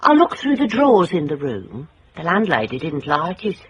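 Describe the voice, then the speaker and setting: An actress playing a tough, determined private investigator in a radio drama, dry and wry. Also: female voice, deep voice, huge pitch swings, normal speed, lo-fi sound. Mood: wry, observant. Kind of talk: radio drama acting with first-person narration.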